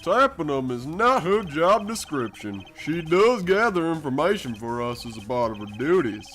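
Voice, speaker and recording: A man's voice: a little high, sing-song, normal speed, a bit bright. A man voicing a pompous, arrogant corporate boss in a video game fandub, talking in grandiose, condescending phrases. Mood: smug, evasive. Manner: grandiose, affected wording, condescending. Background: music.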